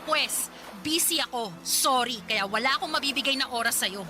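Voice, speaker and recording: A woman playing an irritable, sarcastic young career woman in a Filipino radio drama, with sharp, exasperated delivery. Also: high voice, moderate pace, natural voice. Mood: exasperated, angry. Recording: clear noise behind the voice.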